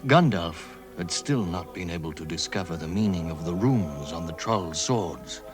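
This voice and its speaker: An actor playing a composed storybook narrator in a 1960s radio drama, delivering scripted narration. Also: male voice, low voice, expressive, moderate pace, over music. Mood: storytelling. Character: composed male storybook narrator of a fantasy adventure.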